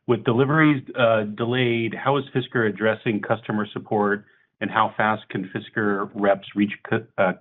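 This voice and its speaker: On a thin telephone-style line, a man with a fairly low voice moderates an earnings call. He reads out investor questions in a businesslike, somewhat halting way. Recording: phone-like conference call line.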